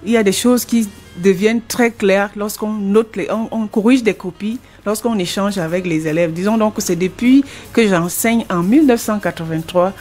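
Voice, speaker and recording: Older cameroonian lady, retired teacher, explains things earnestly, trips and restarts a lot, soft music behind. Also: medium pitch, normal speed, few pauses. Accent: Cameroonian French. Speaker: guest, retired language teacher and author. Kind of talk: interview answers.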